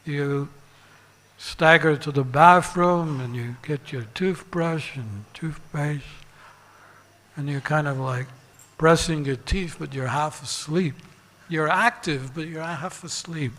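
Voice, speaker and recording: A man giving a religious sermon as a monk and teacher, deliberate, pausing and repeating phrases for emphasis. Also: fairly high pitch, a bit bright. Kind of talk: sermon with parables and rhetorical questions. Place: large reverberant temple hall. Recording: long hall reverb.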